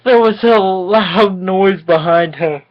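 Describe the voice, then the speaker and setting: A tipsy woman reads a story aloud in a mock-dramatic way at a slow pace. She is giggly and unpolished, tripping over words and dropping into casual, sweary asides. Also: mid-range voice, highs cut off. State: drunk. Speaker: amateur co-reader of a fan story.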